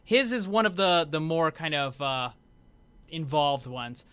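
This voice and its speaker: A man's voice: fairly high pitch, animated, a little slow, a bit bright, smooth. A man hosting a gaming video, giving casual, conversational commentary as he explains what is on show.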